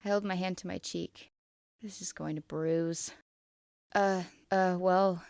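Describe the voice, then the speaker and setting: A woman reading fan fiction aloud as expressive first-person narration. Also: on the low side, moderate pace, creaky, dry recording, clean studio sound.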